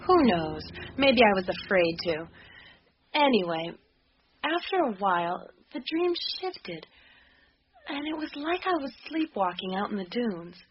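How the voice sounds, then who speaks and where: An actress playing a defiant, skeptical young woman in a radio drama, with a blunt, exasperated, sardonic manner. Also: sits high. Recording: muffled radio recording with clicks and hiss.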